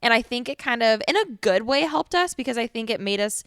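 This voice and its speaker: A woman's voice: fairly high pitch, expressive, fast talker, a bit bright, clear, resonant tone, no room sound, studio quality. A young woman co-hosting a podcast, chatting casually and laughing often while lying on a couch at home. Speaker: podcast co-host. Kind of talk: casual chat.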